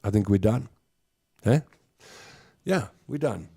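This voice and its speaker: A man's voice: fairly low pitch, expressive, slow pace, vocal fry. A South African man in his fifties hosting a live show, talking casually and earnestly to his listeners in a reflective, conversational way. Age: 50s.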